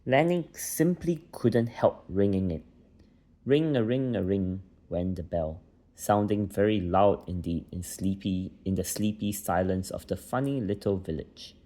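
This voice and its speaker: A man's voice: low-pitched, measured pace, dark tone. A man reading a children's bedtime story aloud as a narrator.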